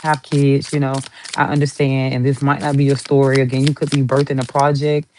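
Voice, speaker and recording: A woman's voice: a bass voice, little pitch movement, fairly quick, a little mellow, a little hiss or hum. A woman with a Southern US accent giving a chatty, rambling tarot reading, playful and talkative as she pulls cards. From Southern United States. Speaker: tarot reader.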